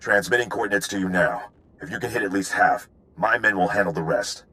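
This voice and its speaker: An actor playing a stoic, hardened sergeant in a video game, clipped, formal and matter-of-fact. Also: male voice, lowish voice, brisk, bright, forceful tone, clear, resonant tone. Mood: businesslike. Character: stoic, hardened military sergeant, loyal ground soldier. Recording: music behind the voice.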